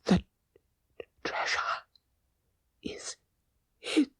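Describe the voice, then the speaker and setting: A man voicing a guilt-ridden, dying old father in an audiobook, halting and laboured, with a breathy voice that fades into whispers. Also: a bit bright, no room sound, clean studio sound. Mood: weak, fading. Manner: halting, laboured deathbed speech. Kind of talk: dramatic audiobook character voice.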